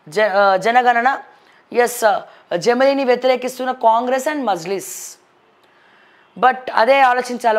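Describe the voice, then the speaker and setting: A woman news presenter with a Telangana accent, reviewing the papers and commenting on politics in a conversational, blunt, questioning way. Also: on the high side. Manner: blunt, questioning, addresses people directly.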